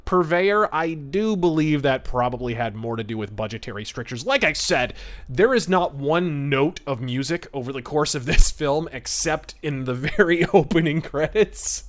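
A man with a fairly high-set voice talks as a podcast host. His film commentary is conversational and discursive, wandering into asides and qualifications as he goes. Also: expressive, moderate pace. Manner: chatty, discursive, peppered with asides and hedges.

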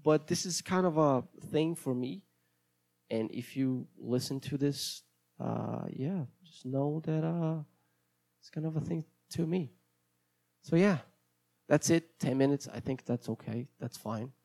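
Gravelly-voiced Brazilian man rambling casually. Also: a little high, unhurried, darkish tone. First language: Portuguese. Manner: hesitant, rambling, many restarts. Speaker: podcast host. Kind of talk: casual solo podcast monologue.

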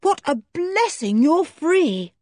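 A woman voicing a sensible young boy who talks in a brisk, encouraging way, in a storybook reading. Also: very high-pitched, very animated, a little mellow, no echo, studio quality, voice pushed up, full character voice. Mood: reassuring. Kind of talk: storybook character voice.

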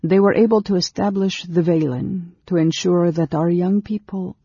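A woman voicing an earnest, nervous woman of a long-lived secretive race in a storybook, speaking haltingly and with emotion. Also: on the low side, fairly even intonation, dark tone, husky, no room sound, highs cut off, pitched above the performer's own voice. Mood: earnest, flustered.

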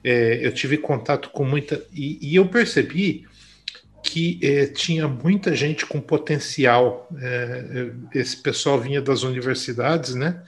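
A man's voice: medium pitch, unhurried. A Brazilian man in an interview, talking reflectively with many hesitations and fillers. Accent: Brazilian Portuguese. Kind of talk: conversational interview.

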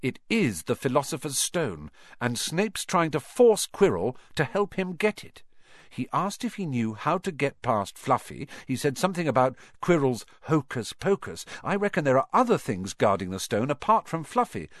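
A man's voice: medium pitch, big pitch swings, moderate pace, no room sound, clean studio sound, voice pushed up, natural voice. A man voicing a breathless boy who talks urgently.

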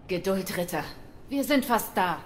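A woman's voice: mid-range voice, animated, a little slow, slight background noise, close to an everyday voice. An actress playing a proud, determined Indian warrior queen in a dramatic audio play, composed, commanding and dry. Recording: voice under loud music.

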